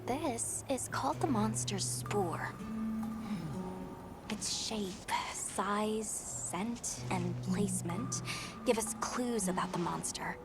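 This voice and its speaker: An actress playing a composed, keen researcher who leads a monster survey in a video game, speaking in a clear, instructive, measured way. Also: female voice, mid-range voice. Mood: thoughtful, explaining. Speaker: expedition leader and monster researcher. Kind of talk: video game cutscene acting. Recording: loud music under the voice.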